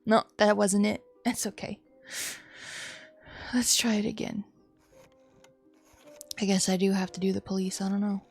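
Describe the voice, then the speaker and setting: Soft-spoken woman streaming, muttering asides. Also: medium pitch, flat delivery, measured pace, long pauses, husky. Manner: quiet muttering asides, casual swearing. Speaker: livestreamer. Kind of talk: casual game stream chat. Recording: music behind the voice. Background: music.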